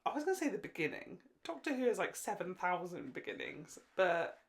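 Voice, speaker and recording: A woman's voice: fairly low pitch, sing-song, a little fast. A woman with a British accent co-hosting a casual podcast, chatting in a playful, jokey and opinionated way. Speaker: podcast co-host.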